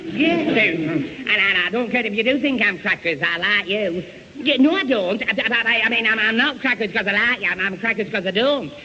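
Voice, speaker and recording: A man's voice: sits high, lively intonation, a little fast, sharp tone, heavily acted. An actor playing a gormless, lovestruck young man with a Northern English accent, stammering and tangling his words in a radio comedy. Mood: muddled. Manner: stammering, muddled, repeating words. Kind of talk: old-time radio comedy acting. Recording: dull, reverberant vintage radio recording, faint hiss.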